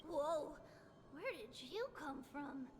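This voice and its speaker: An eager young heroine from a video game. Her voice is extremely high and moves in lively swings, with the bright temper of a keen young fighter. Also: slow pace, noisy background. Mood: light, surprised. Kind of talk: scripted video game voice acting.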